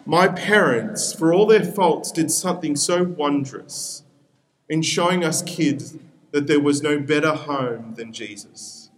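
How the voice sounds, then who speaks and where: A man's voice: a little high, expressive, slow pace, poor audio. A young man from Peru whose first language is Spanish, preaching a sermon as pastor in an earnest way with repeated appeals.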